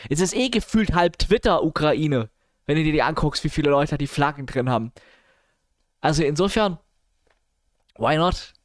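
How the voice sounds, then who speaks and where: A man's voice: a little high, animated, moderate pace, long pauses, no room sound, clean studio sound. A man chatting casually as a live streamer, mixing in English gamer slang and grumbling asides. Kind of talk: casual livestream chat, reacting to news and viewers.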